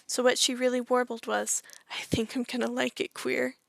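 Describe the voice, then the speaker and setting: A woman's voice: on the high side, flat delivery, moderate pace, creaky, no echo. A woman giving a theatrical, playful reading of a monologue, with arch and precise delivery. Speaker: monologue reader.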